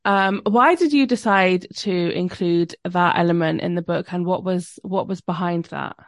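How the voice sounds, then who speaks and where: A woman's voice: fairly low pitch, moderate pace, dry recording, studio quality. A woman hosting a book podcast, asking an author questions with enthusiasm and some fillers. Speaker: podcast host. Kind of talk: interview questions.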